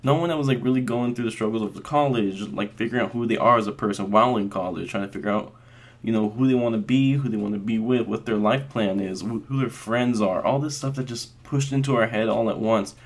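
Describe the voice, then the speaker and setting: A man's voice: on the low side, quick pace. Earnest young man hosting a podcast. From Las Vegas, US. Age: 20s. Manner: earnest, rambling, many fillers and restarts. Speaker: podcast host. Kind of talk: casual solo podcast monologue.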